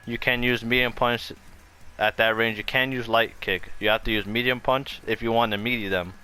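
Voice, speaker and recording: A man's voice: mid-range voice, fairly even intonation, normal speed, sharp tone, smooth. A man giving a casual, off-the-cuff gaming tutorial, explaining loosely and circling back over his points. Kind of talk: casual off-the-cuff fighting game tutorial commentary. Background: music behind the voice.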